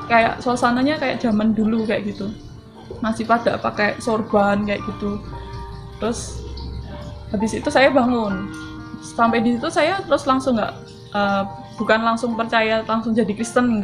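A young woman with a slightly raised voice tells her personal story earnestly, pausing and restarting often as she searches for words. She is recorded close on a camera mic, and clear background music plays under her speech. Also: on the high side. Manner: earnest, halting, many fillers and restarts. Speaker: testimony giver. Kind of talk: personal testimony, casual storytelling.

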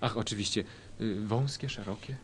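A man's voice: fairly low pitch, normal speed, natural voice. A man playing a wry, politely fussy tailor who narrates a comic radio sketch. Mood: polite, chuckling. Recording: loud music behind narration.

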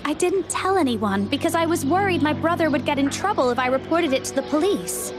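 A woman's voice: sits high, lively intonation, brisk. A woman playing a worried but plucky young sister in a video game, with an earnest, slightly hesitant manner. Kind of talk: video game character dialogue. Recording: game music behind the voice. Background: game music.

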